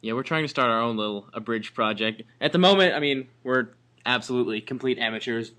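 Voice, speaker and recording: A man's voice: medium pitch, fast talker, bright, forceful tone. A man acting as interviewer, asking questions in a casual, conversational way.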